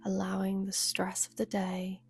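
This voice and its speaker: A woman guiding a meditation as a yoga nidra teacher, speaking softly and soothingly with a breathy, unhurried delivery. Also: medium pitch, monotone, faint music behind. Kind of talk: guided meditation.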